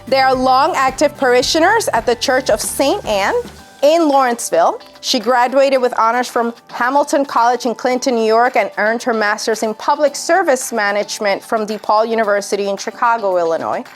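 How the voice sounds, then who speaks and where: A woman with a high voice reads a prepared speaker introduction. There is music in the recording. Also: moderate pace.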